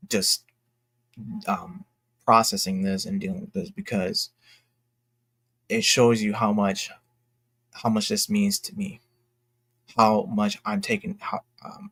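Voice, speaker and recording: A young man talking casually and candidly to his fans in a halting, reflective, earnest way, as an independent creator. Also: on the low side, vocal fry, fairly bright tone, husky, dry recording. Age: young adult. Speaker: indie comic creator and vlogger.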